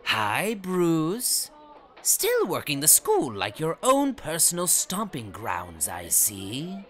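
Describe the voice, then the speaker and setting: A teenage villain in an audio drama with wildly swinging pitch and a sharp, bright edge. He is theatrical and sarcastic, drawing out his sneers slowly. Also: huge pitch swings, a little hiss or hum, full character voice. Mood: sneering. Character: theatrical, mocking teenage villain with manic laugh.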